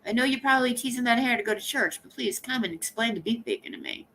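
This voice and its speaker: A woman hosting a casual livestream, chatting to her viewers in an animated, joking way and swearing freely. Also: on the high side, quick pace, a little hiss or hum. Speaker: livestream host.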